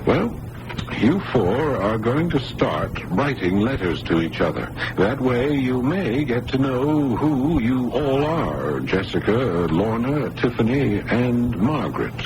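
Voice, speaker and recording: A man acting a kindly psychiatrist in a radio drama, measured and reassuring. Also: mid-range voice, very animated. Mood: explaining gently. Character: kindly, reassuring male psychiatrist, Doctor Bob Kern. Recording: noisy old radio broadcast recording.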